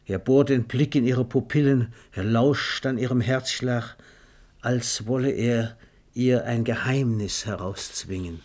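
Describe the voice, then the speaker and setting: Precise, wry literary narrator. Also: male voice, mid-range voice. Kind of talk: scripted literary radio drama narration.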